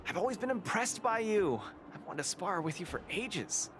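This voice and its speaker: An actor playing a cheerful, boastful young fight champion in a video game, talking in an eager, playful way. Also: male voice, sits high, sing-song, moderate pace, background noise. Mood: coaxing. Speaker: cage fighter. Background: game music.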